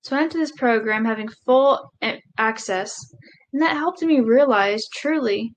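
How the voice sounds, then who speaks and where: A woman voicing a live sign language interpretation of a speech, halting, with pauses and self-corrections. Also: fairly high pitch, rough recording.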